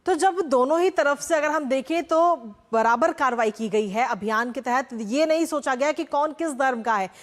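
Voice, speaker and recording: A woman's voice: high-pitched, normal speed, few pauses. A woman presenting television news as an anchor, emphatic and repeating her key phrases. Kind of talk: live Hindi news presenting.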